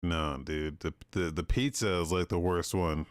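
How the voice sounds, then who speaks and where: A man's voice: sits low, steady tone, a little slow, smooth, dry recording, clean studio sound. A young man co-hosting a podcast, bantering in a laid-back way and calling people "dude" and "bro.". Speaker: podcast co-host. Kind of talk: casual chat.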